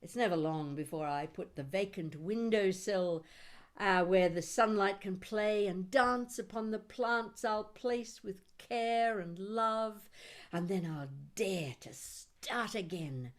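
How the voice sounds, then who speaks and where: A mature woman reading her own poetry expressively, with deliberate phrasing and dramatic pauses. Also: mid-range voice. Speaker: poet reading own work.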